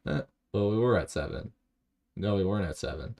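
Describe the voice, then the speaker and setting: A man streaming a video game, talking to himself in a rambling, low-key way and reading chat aloud. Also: expressive, brisk, creaky, warm tone. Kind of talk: casual live-stream commentary while playing.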